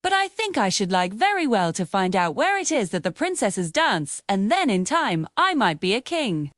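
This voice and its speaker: A woman's voice: mid-range voice, sing-song, moderate pace, fairly bright tone, no room sound, studio quality. A woman voicing a modest, musing old soldier in a fairy tale. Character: old wounded soldier, plain-spoken, modestly hopeful man.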